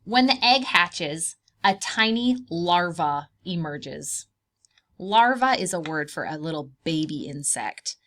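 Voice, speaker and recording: A woman's voice: lowish voice, expressive, slow pace, fairly bright tone. A woman preschool teacher reading aloud to young children, explaining things simply, asking questions and drawing out key words.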